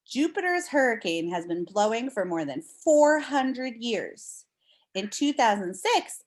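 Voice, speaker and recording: An American woman reads aloud like a teacher to young children. Her pace is slow and deliberate, and her mid-pitched voice moves up and down in a lively way as she explains things to her listeners.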